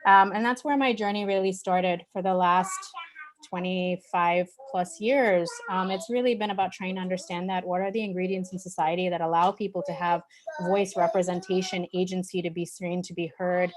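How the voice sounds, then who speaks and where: A woman's voice: lowish voice, fairly even intonation, moderate pace, background noise. A woman from Pakistan, a panelist introducing herself in a discussion, talking reflectively with frequent "um"s.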